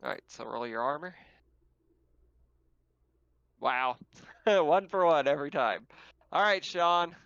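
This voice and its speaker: A man running a tabletop roleplaying game as game master, narrating casually with hesitant pauses. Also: on the high side, expressive, creaky, fairly bright tone, clear, resonant tone, no room sound, highs cut off.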